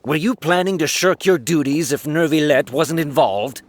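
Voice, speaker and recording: An actor playing a stern, dutiful guard in a video game, earnest and reproving. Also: male voice, a little high, normal speed, slight background noise, natural voice. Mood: reproving, resolute. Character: stern, dutiful male guard, skeptical of slackers.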